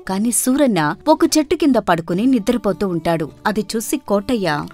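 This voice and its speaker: A woman's voice: medium pitch, animated, normal speed, darkish tone, soft background music. A woman storyteller reading a scripted moral tale as narrator.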